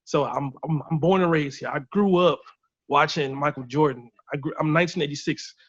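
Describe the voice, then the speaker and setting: A man's voice: fairly high pitch, a little fast, husky, no room sound. A man in his thirties from Illinois, chatting casually and telling stories on a video call. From Illinois, USA. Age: 30s. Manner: relaxed, chatty storytelling with laughs. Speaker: band member on a video chat. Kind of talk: casual chat. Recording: dull lo-fi video call audio.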